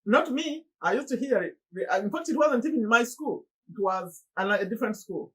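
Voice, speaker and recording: A middle-aged woman from Uganda with a Ugandan accent, talking earnestly to her viewers in a motivational monologue, often pleading and with sudden high exclamations. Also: animated, fairly quick, breathy edge, poor audio. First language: Luganda. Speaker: motivational YouTuber.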